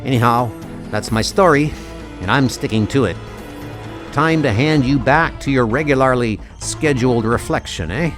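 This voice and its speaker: A man's voice: medium pitch, normal speed, lightly acted. A man voicing a cocky mercenary supervillain in a comic-book audio drama, jaunty, colloquial and taunting. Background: loud music.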